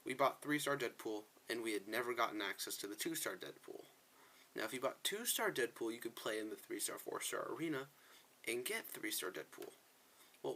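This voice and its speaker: A man gives casual commentary as the host of a gaming video. His mid-pitched voice is bright and sharp, with a gravelly creak running through it. He tends to repeat words and phrases for emphasis.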